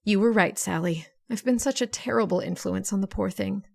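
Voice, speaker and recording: A woman's voice: medium pitch, gravelly. An actress playing a scheming, domineering woman in old-time radio suspense style. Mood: feigned remorse. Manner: halting when apologetic, sharp and commanding when plotting. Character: scheming, domineering woman plotting murder, feigning meek remorse.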